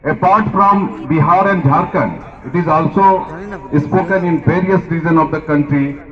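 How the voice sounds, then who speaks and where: A man giving a formal prepared speech at a language day event, reading in a halting way and often repeating or restarting words. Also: on the high side, highs cut off.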